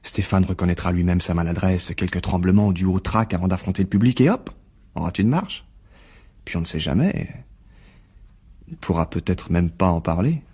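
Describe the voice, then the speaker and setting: An actor playing a smug, scheming blackmailer of a journalist in a radio mystery drama, insinuating and falsely polite. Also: male voice, low voice, moderate pace, mellow, slightly rough. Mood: insinuating, menacing.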